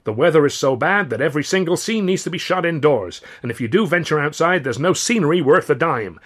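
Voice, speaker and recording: Booming, high-pitched Southern mayor. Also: male voice, animated, a little fast, husky. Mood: proud, rousing. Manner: grandiloquent, rabble-rousing speechifying. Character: beaming, florid Southern American mayor, booming and boastful orator. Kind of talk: public speech in an audiobook.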